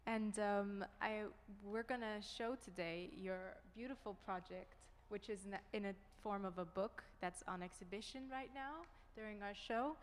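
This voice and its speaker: A woman's voice: mid-range voice, moderate pace, few pauses, a little hiss or hum. A young woman hosting a casual live interview, speaking in a hesitant, conversational way. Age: young adult. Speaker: interviewer, event host. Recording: long hall reverb.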